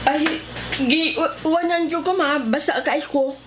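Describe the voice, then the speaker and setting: A woman's voice: high voice, unhurried. A woman playing a hesitant, defensive schoolgirl daughter in a radio drama. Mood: defensive, evasive. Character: schoolgirl daughter making excuses to her mother. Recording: slightly noisy radio drama recording.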